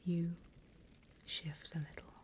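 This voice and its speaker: A woman voicing a seasoned, wry demon instructor in a slow, breathy ASMR whisper, guiding a trainee with dry humour. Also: natural voice. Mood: hushed, instructive. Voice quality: breathy, mostly unvoiced whisper. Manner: slow, deliberate, dryly humorous.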